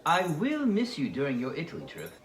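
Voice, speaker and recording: Wistful, coaxing young suitor played by a man for a novel dramatization; very animated pitch, roomy hissy recording. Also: fairly quick. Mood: earnest, coaxing. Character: ardent, wistful young suitor named John.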